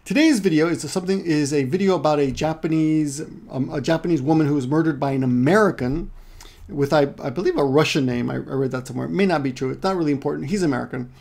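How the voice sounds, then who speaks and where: A man's voice: fairly high pitch, fast, vocal fry, a little mellow. A middle-aged man from Peru who lives in Japan, giving a casual online Japanese lesson in a rambling way, correcting himself often and adding joking asides. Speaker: Japanese language teacher.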